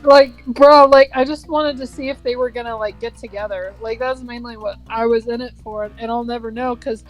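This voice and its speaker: A woman's voice: a little high, fairly even intonation, moderate pace, soft background music, poor audio. A young woman reading a story aloud with casual, sweary, mock-aggrieved commentary. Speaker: co-host of a dramatic reading.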